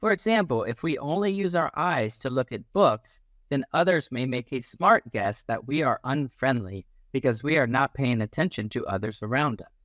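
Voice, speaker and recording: A man reading a children's picture book aloud as a teacher, in an expressive, instructive manner. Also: medium pitch, moderate pace, hardly any pauses, no echo, studio quality. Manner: animated, instructive, acts out little sounds and quotes.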